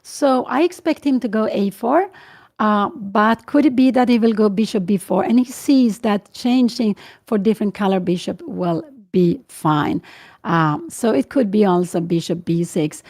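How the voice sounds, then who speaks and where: A woman in her sixties with a Swedish accent, her English carrying the rhythm of her first language. Her voice is low and mellow with a gravelly edge, and she works through her thoughts slowly, with fillers and self-corrections. She sounds like a live chess commentator answering viewers as she goes. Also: animated. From Sweden. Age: 60s. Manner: thinking aloud with many fillers and self-corrections.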